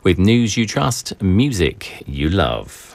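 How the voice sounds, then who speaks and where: A man hosting a morning public radio show, reading station announcements and sponsor credits in a measured announcer manner. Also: sits low, very animated, gravelly, a little mellow.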